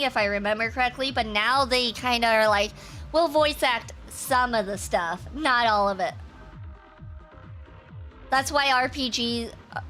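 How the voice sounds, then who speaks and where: A young woman streaming a game, chatting casually in rambling asides while her voice is going hoarse. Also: fairly high pitch, pushed and bright. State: losing her voice. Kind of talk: casual gameplay commentary.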